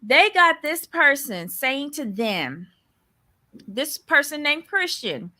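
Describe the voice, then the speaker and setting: Slow, bright-voiced Barbadian woman. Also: high voice, sing-song. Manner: blunt and opinionated. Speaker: podcast co-host. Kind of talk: casual recap commentary.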